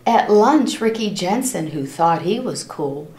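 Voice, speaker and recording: A woman reading a picture book aloud to children in an expressive, animated storytelling style, stopping to address her listeners. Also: fairly low pitch. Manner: animated, acts out characters' lines, pauses to ask listeners questions. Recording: roomy, echoing room sound.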